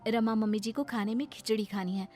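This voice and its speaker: Polite, high-voiced young daughter-in-law, acted by a woman. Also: steady tone, brisk, a little mellow, faint music behind, close to an everyday voice. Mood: hesitant. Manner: deferential, apologetic, pleading. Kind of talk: Hindi animated moral story acting.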